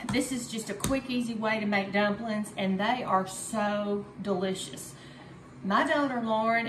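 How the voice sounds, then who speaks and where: A woman's voice: on the high side, fairly bright tone. A woman in her fifties casually showing a home recipe in her kitchen, chatty and homey, with lots of fillers and easy laughs. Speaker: home cooking video host. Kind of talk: casual cooking demonstration. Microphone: camera on counter at medium distance. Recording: echoey kitchen with faint ambient noise.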